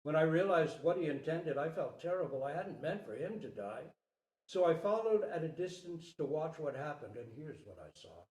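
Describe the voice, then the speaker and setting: A man's voice: fairly high pitch, lively intonation, darkish tone. A man giving a dramatic, emphatic storytelling reading of a parable, drawing out his phrases. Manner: theatrical, emphatic, with drawn-out phrases. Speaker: storyteller, Zen teacher. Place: reverberant room at a retreat talk. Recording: roomy, echoing live recording.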